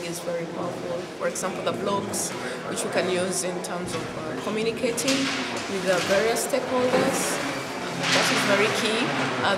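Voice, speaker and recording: A woman from Uganda, giving an unscripted interview answer at a conference, thoughtful and hesitant with many fillers. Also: mid-range voice. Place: conference venue hall. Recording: echoey hall with loud background noise.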